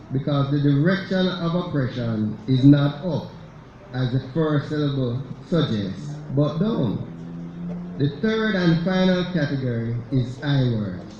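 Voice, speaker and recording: Church speaker, man, measured reading voice, warm and dark tone. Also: mid-range voice, a little hiss or hum. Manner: measured, reads aloud with slow stresses on quoted words. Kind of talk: reading a sermon lecture. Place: church sanctuary. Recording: echoey church sanctuary, slightly dull sound.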